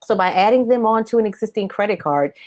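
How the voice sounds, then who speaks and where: A woman's voice: medium pitch, fast talker. Chatty woman host, dull lo-fi webcast. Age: late 40s. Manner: chatty, animated, conversational asides. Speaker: host, credit coach. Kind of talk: live webcast advice talk, answering viewer questions. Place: small home office, indoors.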